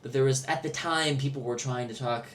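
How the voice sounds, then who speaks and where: An American man chatting casually and telling personal anecdotes, halting and circling back over his words. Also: mid-range voice, steady tone. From Milwaukee, United States. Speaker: podcast host. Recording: noticeable background noise.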